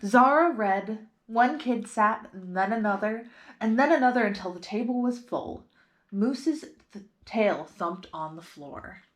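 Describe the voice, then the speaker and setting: A woman's voice: medium pitch, expressive, a little slow. A woman hosting storytime, reading a picture book aloud expressively and putting simple questions to young listeners.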